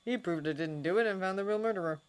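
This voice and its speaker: A woman's voice: medium pitch, fast talker, voice pushed up, natural voice. A woman reading aloud and voicing a grumpy, sardonic wizard man who growls and grumbles. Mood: wry.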